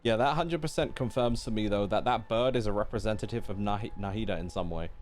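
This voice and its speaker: A man's voice: on the low side, quick pace. A man doing casual, playful game reaction commentary as a streamer, in an informal way. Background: game music.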